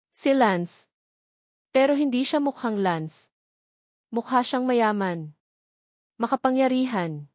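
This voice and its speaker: A woman's voice: mid-range voice, darkish tone, no echo, clean studio sound. A woman reading a scripted romance story as a dramatic narrator.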